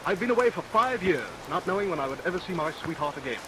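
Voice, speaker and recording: An actor playing a hearty, courteous young sailor in love, gallant and earnest, in vintage radio drama. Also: male voice, sits high, animated, fast talker. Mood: hearty, warm. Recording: hissy vintage radio recording with rain.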